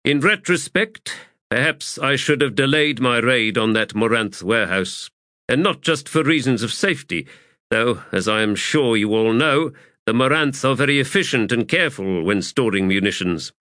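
A man's voice: mid-range voice, animated, moderate pace, bright, forceful tone, no room sound, clean studio sound, voice pushed up. A man voicing a commanding, exasperated army commander in a formal, clipped audiobook performance. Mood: dry, formal. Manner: formal, clipped, authoritative oratory. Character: commanding, exasperated male army commander addressing his troops. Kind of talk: audiobook character acting.

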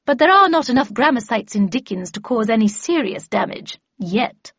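A woman voicing an imperious, brisk older lady mentor in an audiobook, with clipped, commanding and pompous delivery. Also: a little high, animated, breathy edge, no echo, lo-fi sound. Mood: lecturing. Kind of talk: audiobook character dialogue.

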